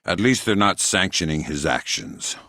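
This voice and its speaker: An actor playing a hardened, sardonic middle-aged covert operative in a video game, gruff and terse, with dry deadpan delivery. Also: male voice, low-pitched, moderate pace, dry recording, exaggerated voice. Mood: businesslike, dry. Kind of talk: video game character dialogue.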